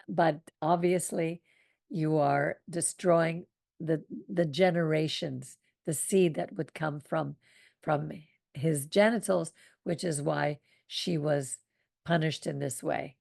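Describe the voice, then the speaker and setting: A woman hosting a Torah study group, reading scripture aloud and commenting in a soothing, unhurried way. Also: low voice, gravelly, darkish tone, no echo, clean studio sound. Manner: soothing, unhurried teaching with small laughs.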